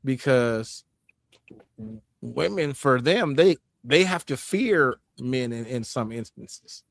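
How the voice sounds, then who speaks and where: A man's voice: mid-range voice, lively intonation, takes it slowly, vocal fry. A man hosting a casual panel talk, reasoning aloud and checking that others follow him. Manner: conversational, reasoning aloud, seeks agreement. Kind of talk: casual debate.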